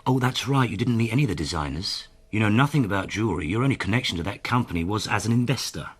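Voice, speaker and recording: An actor playing a hard, sardonic detective inspector in a radio drama, needling and sarcastic, with a husky voice. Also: male voice, fairly low pitch, expressive, very fast. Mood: pressing, accusing.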